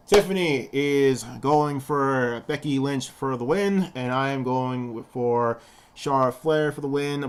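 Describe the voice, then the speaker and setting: A man's voice: mid-range voice, smooth. A young man with a lisp, co-hosting a casual wrestling prediction chat and rambling with repeated words. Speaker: podcast co-host.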